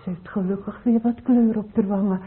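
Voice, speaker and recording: A woman's voice: medium pitch, fairly even intonation, a little fast, natural voice. A woman acting an anxious, fussing middle-aged mother in a radio drama, hesitant and solicitous. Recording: muffled phone-like old radio recording.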